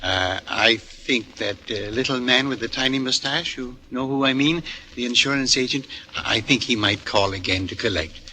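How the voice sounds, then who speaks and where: An actor playing a gentle, lonely old lodger in a 1940s radio drama, polite, hesitant and wordy. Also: male voice, medium pitch, moderate pace, hardly any pauses. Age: older. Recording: noisy old radio broadcast recording.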